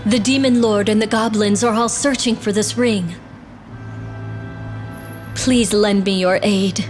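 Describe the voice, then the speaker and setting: A woman's voice: mid-range voice, steady tone, a little slow, natural voice. A woman playing a resolute young exiled princess in a video game, speaking formally and earnestly. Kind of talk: dramatic video game voice acting. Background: game music.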